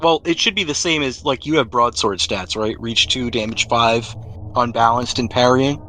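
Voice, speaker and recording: A man's voice: medium pitch, normal speed, a bit bright, rough recording. A man acting as game master, describing scenes and rules in a descriptive, conversational storytelling way. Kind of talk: narrating a tabletop role-playing game. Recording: noticeable background noise.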